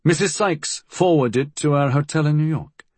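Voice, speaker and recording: A man playing a weary, guarded gentleman crook, higher-pitched. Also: moderate pace, husky, no echo. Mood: resigned.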